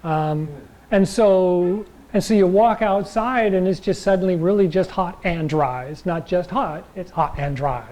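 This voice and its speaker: A man teaching a chi gung and tai chi class in a loose, rambling way, with a slightly raspy voice and frequent check-ins with his listeners. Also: high voice, measured pace, warm tone, low-quality recording. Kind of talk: casual class talk.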